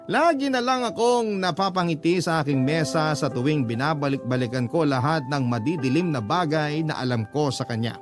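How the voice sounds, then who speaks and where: A man giving scripted first-person narration for a radio drama, confiding his story directly to the host, with music underneath. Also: a little high.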